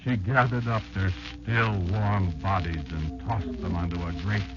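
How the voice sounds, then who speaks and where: An actor playing a tiny, embittered insect elder who patiently instructs a child in a dramatic, halting radio-play monologue. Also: male voice, sits low, fairly even intonation, music in the background. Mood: horrified, bitter. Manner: dramatic, halting, deliberate storytelling with emphatic swings. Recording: muffled, very noisy old radio recording.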